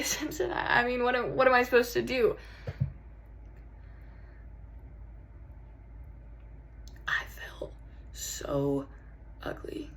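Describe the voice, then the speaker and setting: A woman's voice: fairly high pitch, creaky, a bit bright, slight background noise, exaggerated voice, rough recording. A woman acting a theatrical monologue as a rattled, unravelling jilted lover, stammering and repeating her words as she pleads. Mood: confused, despairing.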